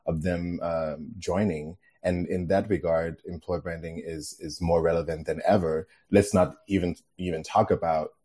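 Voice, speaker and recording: A man from Denmark, a guest on a podcast interview, relaxed, laughing easily, with many fillers. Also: sits low, moderate pace, hardly any pauses, slightly rough.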